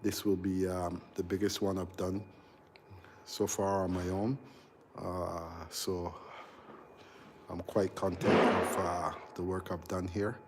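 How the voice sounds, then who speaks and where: A man in his forties, an electrical contractor hosting a casual live stream as he walks through a big unfinished house, answering viewers in a halting, chatty way full of ums and uhs. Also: low voice, level tone, background noise. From Barbados. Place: large unfinished house under construction, indoors.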